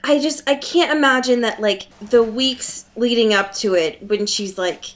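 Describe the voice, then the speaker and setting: A woman's voice: on the high side, unhurried. A woman co-hosting a podcast, chatting casually and comedically about a book with a giggly, conversational manner. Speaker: podcast co-host.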